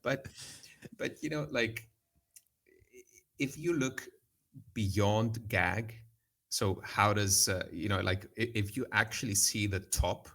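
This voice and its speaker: A man from Cyprus talking markets as a podcast guest. His voice sits fairly low, and he rambles through fillers and restarts in loose, casual chat. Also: slow, vocal fry, pauses often, a bit bright. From Cyprus/Greece. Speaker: guest trader.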